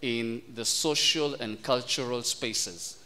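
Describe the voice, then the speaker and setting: A man moderating a formal panel discussion, posing measured questions and slipping into Swahili. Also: mid-range voice, pushed and bright, a little hiss or hum.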